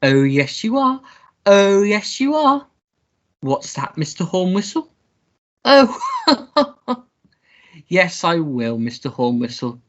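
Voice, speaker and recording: A woman playing a foppish, childish upper-class English gentleman in a comic melodrama radio play, pompous and theatrical. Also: on the low side, huge pitch swings, takes it slowly, long pauses, lo-fi sound, heavily acted. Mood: gushing. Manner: pompous, theatrical, babyish gushing.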